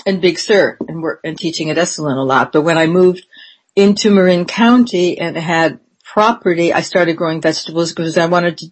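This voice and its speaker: A woman in her seventies, interviewed and reflecting on her life in a meandering, unhurried way, with frequent ums and restarts. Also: lowish voice, breathy edge. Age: 70s.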